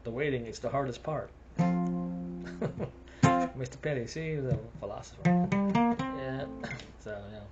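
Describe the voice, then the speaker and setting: A man's voice: mid-range voice, expressive, measured pace, long pauses, dull audio, over loud music. A man with a South African accent rambling through a casual monologue, chuckling between his thoughts.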